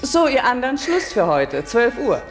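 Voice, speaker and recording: An actress playing a village schoolteacher in a German radio drama, articulate and measured, stern yet gentle. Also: female voice, on the high side, big pitch swings, soft background music. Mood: brisk, commanding. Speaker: actor in a radio play. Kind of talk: German radio drama, narration and classroom dialogue.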